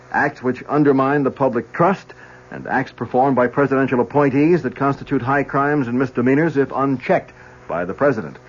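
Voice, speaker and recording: A man delivers scripted radio news and announcements formally. His voice is medium in pitch, heard through a slightly muffled vintage 1970s broadcast.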